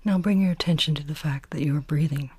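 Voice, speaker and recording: Soft, breathy woman guiding meditation. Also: low voice, fast, no room sound. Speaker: meditation teacher. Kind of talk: guided body scan meditation.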